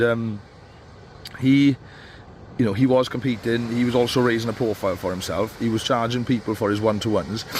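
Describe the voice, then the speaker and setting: A man with a cold, giving casual, rambling advice to barbers from a beach. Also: on the low side. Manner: rambling, with many fillers and restarts. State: stuffy with a cold. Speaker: barber coach. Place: outdoors on a beach. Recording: faint outdoor noise.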